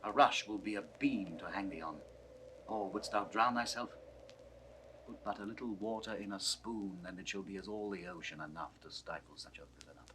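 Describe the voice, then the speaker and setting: A man's voice: sits low, moderate pace, background noise, close to an everyday voice. An actor playing a stern, suspicious, grief-struck nobleman in weighty, declamatory 1960s Shakespearean style. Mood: menacing, accusing. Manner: declamatory, weighty verse delivery. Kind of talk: 1960s classical Shakespearean recorded acting.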